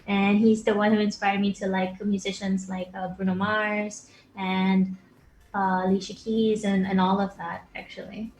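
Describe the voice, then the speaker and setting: A woman in her twenties from Brunei, a singer answering interview questions over a video call, polite and soft-spoken. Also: mid-range voice, level tone, unhurried, roomy sound, slight background noise, poor audio. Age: 20s. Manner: polite, soft-spoken, addresses host as sir. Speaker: guest singer. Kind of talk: remote interview, casual.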